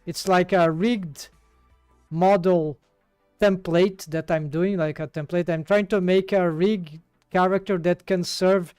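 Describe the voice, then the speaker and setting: A man's voice: high-pitched, steady tone, slow pace, darkish tone, smooth, no room sound, studio quality, soft background music. A man with a Brazilian accent, working through a 3D rigging task live and thinking aloud with many fillers and restarts. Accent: Brazilian Portuguese. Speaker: 3D rigging streamer. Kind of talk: live tutorial while working.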